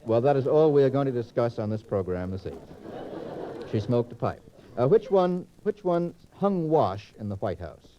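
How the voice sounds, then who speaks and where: A man's voice: mid-range voice, expressive, moderate pace, mellow, slight background noise. A man moderating a 1940s radio panel quiz in a precise, wordy, teasing manner. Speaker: quiz show host. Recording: muffled 1940s radio transcription disc.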